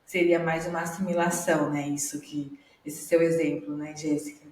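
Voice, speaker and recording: A woman's voice: sits low, flat delivery, moderate pace, a little mellow, echoey room, far from the mic, slight background noise, poor audio. A Brazilian woman, a psychologist, explaining things in a conversational way, with many hesitations and tag questions. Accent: Brazilian Portuguese. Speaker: psychologist guest. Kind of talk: conversational video call discussion.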